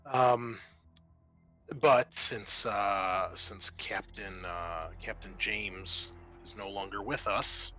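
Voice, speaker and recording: Bright-voiced male game master. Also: fairly low pitch, steady tone, faint music behind. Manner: halting, thinking aloud as he narrates. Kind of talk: improvised tabletop game narration.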